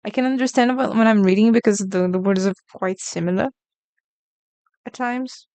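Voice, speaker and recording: Smooth-voiced woman chatting on stream. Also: mid-range voice, moderate pace, a little mellow, no echo. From Brazil. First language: Portuguese. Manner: chatty, reacts to chat messages. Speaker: artist streamer. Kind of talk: casual live-stream chat while drawing.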